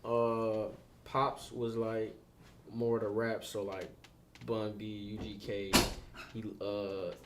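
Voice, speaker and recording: A young man from Detroit, a rapper, talking about his music in a relaxed, slangy podcast chat. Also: fairly low pitch, takes it slowly, very noisy. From Detroit, USA. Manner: laid-back, slangy, repeats "you know what I'm saying". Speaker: rapper being interviewed.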